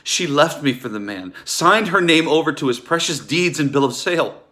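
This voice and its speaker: Guy playing a bitter wronged husband, sharp forceful voice, ominous and accusing, stage drama style. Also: a little high, lively intonation, moderate pace. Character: bitter, wronged husband warning about a sinister doctor. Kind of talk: theatrical musical table read.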